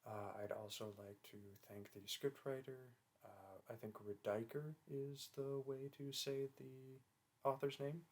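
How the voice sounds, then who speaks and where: A man's voice: mid-range voice, little pitch movement, measured pace, darkish tone. A man who does voice acting, talking to his listeners in a casual, modest, rambling and hesitant way. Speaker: voice actor, channel creator.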